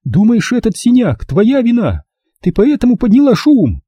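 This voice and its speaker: A male narrator plays a distraught middle-aged mother in an audiobook. The voice is pushed high and swings widely, with a soft dark tone. She is bitter, pleading and insistent, leaning hard on every reproach. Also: huge pitch swings, normal speed, slightly rough, no echo, full character voice. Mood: bitter, desperate. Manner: emphatic, reproachful, pressing with rhetorical questions. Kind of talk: audiobook character dialogue.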